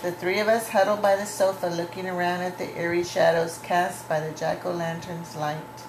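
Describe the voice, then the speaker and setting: A woman reading a children's story aloud with deliberate, dramatic phrasing. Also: on the low side, flat delivery. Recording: echoey room with clear background noise.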